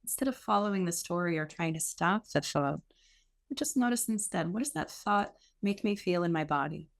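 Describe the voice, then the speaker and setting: A woman meditation teacher giving gentle guided instruction in a soothing, unhurried way with pauses between short phrases. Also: on the low side, expressive, gravelly.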